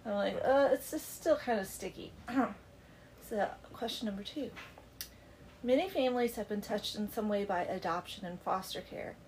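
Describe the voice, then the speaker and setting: A woman's voice: mid-range voice, normal speed, a little mellow, a little hiss or hum, low-quality recording. A middle-aged woman with a congested voice, hosting a chatty book club podcast from her home basement and laughing easily. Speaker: podcast host. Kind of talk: casual book club chat.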